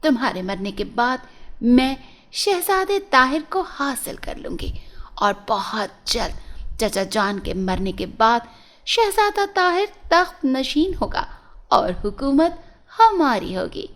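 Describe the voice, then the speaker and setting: Woman as a villainous storybook princess, high voice, jealous, cold, scheming. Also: big pitch swings, slow pace, fairly bright tone, heavily acted. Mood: gloating, menacing. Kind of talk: storybook character voice.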